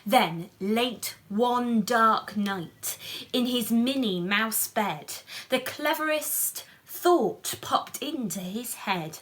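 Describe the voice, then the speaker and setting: Expressive woman reading a children's storybook, unhurried. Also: on the high side. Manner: playful, chatty, asking the audience questions. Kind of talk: animated picture-book reading with chatty asides to young viewers.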